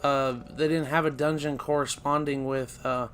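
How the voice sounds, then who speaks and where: A man hosting a video game let's play, losing his voice and sounding raspy, rambling and correcting himself as he talks. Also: a little high, level tone, moderate pace, fairly bright tone. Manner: rambling, self-correcting, chatty. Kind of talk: casual gameplay commentary.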